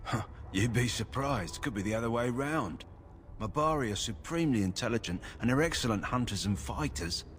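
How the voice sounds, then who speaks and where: An actor playing a kindly, plain-spoken kennel master who tends war hounds in a fantasy video game. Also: male voice, medium pitch, lively intonation, moderate pace, close to an everyday voice. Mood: encouraging. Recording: game audio with background noise. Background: game ambience.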